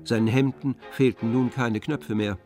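A man's voice: medium pitch, fairly even intonation, moderate pace, warm tone, soft background music. A man narrating a literary radio play from a script.

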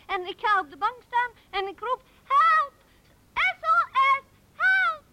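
An imaginative small child in a 1970s radio drama. The voice is extremely high and bright, earnest and excitable in its storytelling. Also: female voice, slow pace, exaggerated voice. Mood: excited.

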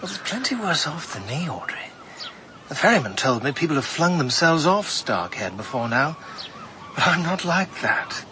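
A man's voice: fairly high pitch, big pitch swings. Radio play: an awkward, devoted man who stumbles over his words, hiss behind. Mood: earnest. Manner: halting, tongue-tied, earnest. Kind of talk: BBC radio drama acting.

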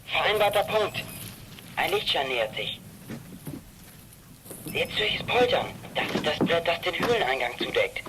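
An actor playing an alert teenage boy lookout in a children's radio play, giving hushed, clipped reports through a crackly CB radio filter. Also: on the high side, big pitch swings, normal speed, pauses often. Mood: tense, watchful. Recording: crackly walkie-talkie transmission with clicks.

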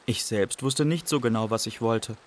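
A male actor as a young Iraqi refugee looking back on a wandering life. The voice sits at a medium pitch, in reflective radio-drama narration over faint music. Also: moderate pace, close to an everyday voice. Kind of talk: scripted first-person radio play narration.

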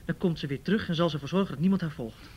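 A brisk, confident man played by an actor in a radio drama, reassuring and decisive. He talks fast in a fairly high voice, on a slightly dull recording with faint noise. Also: lightly acted. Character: brisk, reassuring man taking charge of secret plans.

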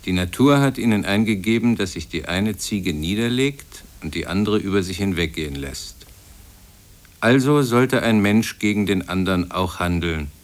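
Solemn, declamatory reciter male actor, very low register, 1950s radio drama. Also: natural voice. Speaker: actor reading quotations.

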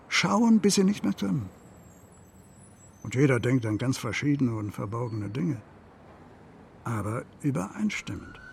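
Gravelly, melancholy male storyteller. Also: medium pitch, darkish tone, a little hiss or hum. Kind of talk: literary narration in a radio play.

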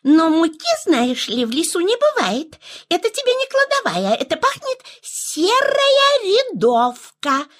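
A woman playing a kindly, knowing female forest dweller in a children's audio play, patiently explaining and laughing softly. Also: very high-pitched, wildly swooping pitch, unhurried, full character voice. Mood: teaching.

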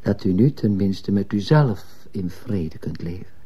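An actor playing a mild, probing priest in a radio play, gentle and wry, with a dark, husky voice. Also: male voice, low voice, expressive, a little slow, exaggerated voice. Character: mild, probing male priest in plain clothes. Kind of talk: Dutch radio play acting.

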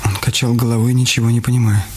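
A man's voice: mid-range voice, flat delivery, fast talker, a little mellow, a little hiss or hum. A man narrating a dramatized story for radio as a scripted reading.

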